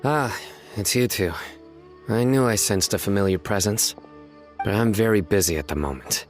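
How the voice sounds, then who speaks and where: Man playing a stern male enforcer, very low voice, composed, terse, formal, anime game acting, music behind. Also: lightly acted. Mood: stern, brisk. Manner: formal, measured, matter-of-fact.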